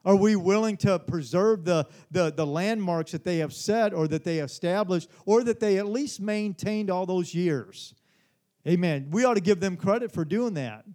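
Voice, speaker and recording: A man's voice: high-pitched, moderate pace, mellow. A man in his forties, a pastor giving a Bible study sermon, earnest and repetitive, often asking the congregation "Amen?". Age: 40s. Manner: earnest, repeats words, frequent "Amen?".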